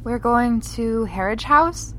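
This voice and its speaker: A woman plays an uneasy traveler new to town in a horror audio drama. Her voice is fairly high and questioning, with faint vehicle noise behind it. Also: expressive, takes it slowly, rough recording. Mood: puzzled.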